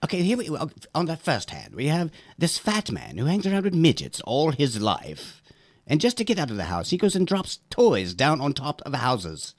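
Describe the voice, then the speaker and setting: A man's voice: fairly high pitch, huge pitch swings, normal speed, exaggerated voice. A man playing a grumpy, rude Easter Bunny. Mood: scornful. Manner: sarcastic, exasperated, swooping voice. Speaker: interviewee in a comedy sketch. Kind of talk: improvised comedy interview.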